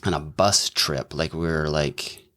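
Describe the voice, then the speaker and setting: Deep-voiced man, thoughtful and rambling. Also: fairly even intonation, a little slow, pushed and bright. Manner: thoughtful, rambling, many fillers and restarts. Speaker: doctor and businessman, podcast guest. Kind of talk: casual conversational interview.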